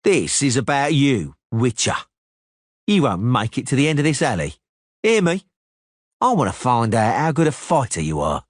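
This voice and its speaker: A man voicing a brash, hot-headed young thug who jeers and taunts loudly. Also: mid-range voice, very animated, moderate pace, no echo, clean studio sound, voice pushed up. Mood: taunting. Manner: jeering, loud, challenging. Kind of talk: audiobook character voice.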